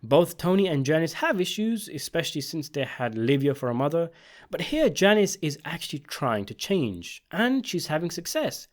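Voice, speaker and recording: A man's voice: on the high side, big pitch swings, normal speed. Man narrating with wide, swinging intonation. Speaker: video essayist and channel host. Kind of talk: scripted video essay commentary on a TV series.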